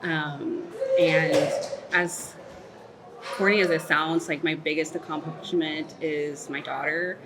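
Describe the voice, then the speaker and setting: A woman's voice: deep voice, fairly even intonation, slow pace, noisy background. A woman in her thirties, born in Mexico and raised in Texas, talking thoughtfully in an interview with lots of "like" and "um" fillers. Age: 30s. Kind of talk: candid reflective interview.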